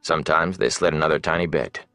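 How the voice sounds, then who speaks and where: A man's voice: extremely low pitch, quick pace, pushed and bright, no room sound. A man reading scripted fantasy narration dramatically as an audiobook narrator.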